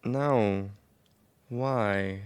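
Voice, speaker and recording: A man's voice: lowish voice, extremely slow, smooth, no room sound, clean studio sound. An actor playing a chipper, helpful male friend in an audio theatre comedy, earnest and upbeat.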